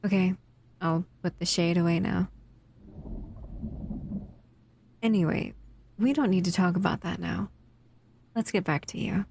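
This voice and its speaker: A woman's voice: on the low side, creaky, a little mellow, exaggerated voice. A woman voicing a captive female shadow monster who soothes and cuddles the listener in a close, intimate audio roleplay. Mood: calm, confiding. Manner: soothing, intimate, often whispering.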